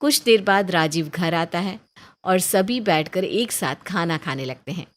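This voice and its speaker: A woman's voice: lowish voice, measured pace. Woman narrating a family storybook tale.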